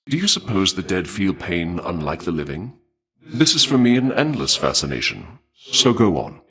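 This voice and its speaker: An actor playing a courteous, bloodthirsty god of war in a video game, speaking formally and deliberately with polite menace. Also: male voice, low voice, fairly bright tone, full character voice. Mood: eager, conspiratorial. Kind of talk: theatrical video game character dialogue.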